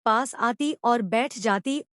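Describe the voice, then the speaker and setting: A woman's voice: fairly high pitch, slow pace, fairly bright tone, no room sound, clean studio sound. A woman narrating a scripted moral story for children as a storyteller.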